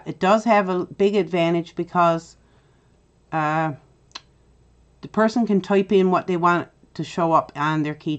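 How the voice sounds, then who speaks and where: A middle-aged woman with a Newfoundland accent, chatty and step-by-step as she hosts a software tutorial. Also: on the low side, muffled recording. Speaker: tutorial host. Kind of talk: screen-recorded software tutorial.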